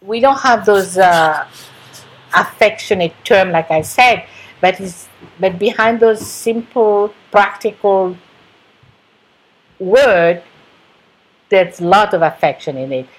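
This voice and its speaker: A woman's voice: medium pitch, slow, pauses often, low-quality recording. A woman telling a fond family story hesitantly, with many fillers. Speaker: interviewee. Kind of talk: personal storytelling.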